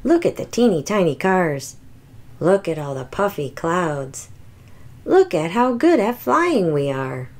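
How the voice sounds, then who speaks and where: Woman voicing an animated, dramatic little dinosaur. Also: fairly low pitch, measured pace. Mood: delighted. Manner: exaggerated, expressive, emphatic. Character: dramatic, anxious little toy T-rex dinosaur, boastful but loving. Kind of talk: children's picture book read-aloud.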